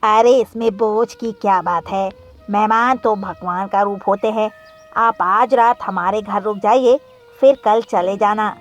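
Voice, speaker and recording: A man's voice: high-pitched, fairly even intonation, a little slow, faint music behind. A man playing a kind, humble, hardworking pigeon in a cartoon story, speaking politely and earnestly. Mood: kind, welcoming.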